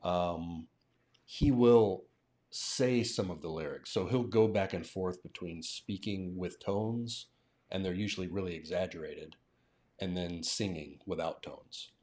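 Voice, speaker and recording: Very deep-voiced American man. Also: unhurried, a little mellow. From southern United States. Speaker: language teacher hosting a livestream. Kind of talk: casual live-stream discussion.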